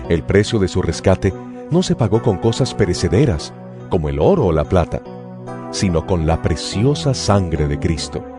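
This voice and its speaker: Deep voiced guy narrating bible audio drama, solemn and measured, music behind him. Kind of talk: dramatized scripture reading. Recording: music bed under the voice.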